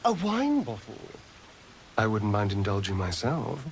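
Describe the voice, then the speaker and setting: A man's voice: on the low side, huge pitch swings, a little slow, slight background noise, heavily acted. An actor playing a suave, teasing male magistrate with a theatrical, indulgent manner in a fantasy drama. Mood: droll. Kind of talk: cinematic fantasy voice acting.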